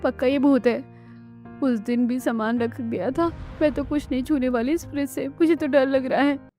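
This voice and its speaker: A woman playing an anxious, excitable young daughter-in-law in a cartoon family drama, earnest and dramatic. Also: high-pitched, normal speed, faint music behind, natural voice. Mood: alarmed, scared.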